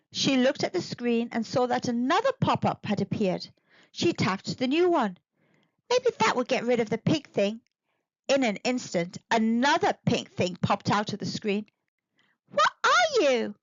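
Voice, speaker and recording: A woman narrating a children's storybook in an expressive, deliberate read-aloud manner. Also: fairly high pitch, no echo, dull audio. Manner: animated, deliberate.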